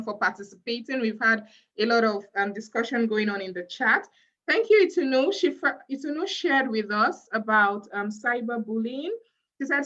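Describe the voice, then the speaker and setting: A woman hosts an online youth session and reads chat questions to the group in a friendly tone. Her voice is a little high. The audio is dull, like a video call. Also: fairly bright tone. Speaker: host.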